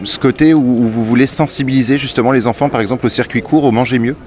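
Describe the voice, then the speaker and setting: A man interviewing a guest for radio outdoors at a fair, asking brisk questions with small stumbles. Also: mid-range voice, steady tone, a little mellow. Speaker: radio reporter.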